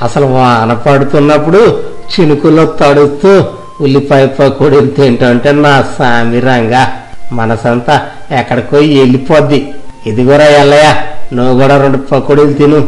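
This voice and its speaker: A man's voice: medium pitch, fairly even intonation, moderate pace, darkish tone, lightly acted, rough recording. A man playing an arrogant, mocking rich village man in a moral story, speaking colloquial rural Telugu. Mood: self-satisfied. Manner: mocking, boastful, condescending. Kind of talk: moral story audio drama. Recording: music behind the voice.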